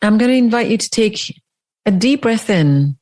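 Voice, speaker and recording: A woman leading a guided meditation as a teacher, speaking in deliberate phrases with long pauses. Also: medium pitch, animated, darkish tone.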